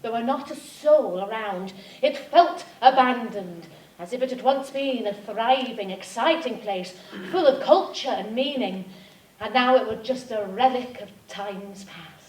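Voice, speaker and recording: A woman's voice: a little high, a little slow, darkish tone, natural voice. A woman playing a polite, earnest, admiring film actress in a live comic radio parody. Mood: solemn narration. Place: theatre stage. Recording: echoey stage, distant mic, faint noise.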